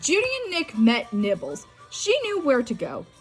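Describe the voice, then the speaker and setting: A woman's voice: on the high side, huge pitch swings, normal speed, soft background music, poor audio. A woman narrating a children's storybook read-aloud, telling the tale expressively. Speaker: storybook reader.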